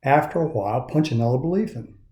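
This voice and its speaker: Older man reading aloud, mellow and roomy. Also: mid-range voice, animated, fast talker. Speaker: storyteller reading a children's book. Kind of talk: expressive read-aloud storytelling. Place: indoors in a woodworking shop. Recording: roomy, echoey sound.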